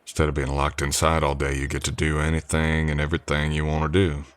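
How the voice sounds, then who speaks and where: A male actor voices a drifter cowboy hired on as a ranch hand in an old west audio roleplay. His voice is low and soft, and he talks straight to the listener in a folksy, courteous way. He comes across as easygoing and a little unsure of his manners. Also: monotone, quick pace, smooth, no room sound. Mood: thoughtful, curious. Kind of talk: ASMR roleplay acting, addressing the listener.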